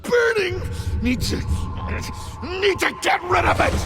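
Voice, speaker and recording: An actor playing a volatile, deranged asylum killer in a video game, creaky-voiced and agitated. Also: male voice, very high-pitched, expressive, slow, over loud music, heavily acted. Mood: frantic panic. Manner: frantic, agitated. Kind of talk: dramatic video game acting.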